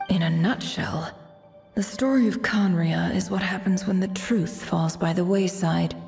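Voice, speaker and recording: A woman's voice: lowish voice, vocal fry, darkish tone. An actress voicing a proud, lazy, sardonic young woman boss in a video game, with an arch and teasing delivery. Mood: weary, contemptuous. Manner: arch, teasing, self-satisfied. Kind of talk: English video game dub acting.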